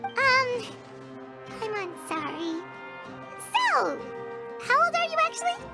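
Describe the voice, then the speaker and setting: A woman voicing a tiny, excitable, blunt fairy companion for a video game, with a squeaky childlike voice. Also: slow, gravelly, heavily acted. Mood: sheepish, flustered. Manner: refers to self in third person, outspoken. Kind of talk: English video game voice acting. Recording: studio voice under loud game music. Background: loud music.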